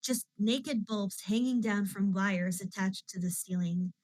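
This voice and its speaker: Slow, unhurried woman reading a novel. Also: mid-range voice, dry recording, low-quality recording. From Netherlands. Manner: calm, chatty asides to viewers. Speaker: audiobook reader and streamer. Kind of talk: reading a novel aloud on a live stream.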